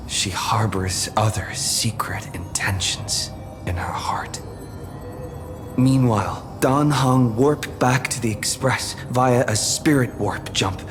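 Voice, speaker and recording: Guy playing calm young traveler doing a solemn game recap, slow delivery, music behind it. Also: mid-range voice, in a large hall, close to an everyday voice. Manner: measured, solemn, deliberate storytelling. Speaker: story narrator and protagonist. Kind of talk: scripted narration, story recap.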